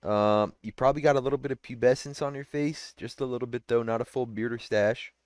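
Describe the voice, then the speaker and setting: A man trading mocking, deadpan taunts on a voice call. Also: mid-range voice, brisk, no echo, studio quality. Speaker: streamer. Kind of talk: trolling banter on a voice call.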